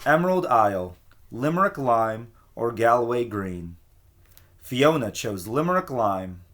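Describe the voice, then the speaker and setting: A man reading a children's picture book aloud as a teacher, with an expressive storytelling cadence. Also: mid-range voice, unhurried.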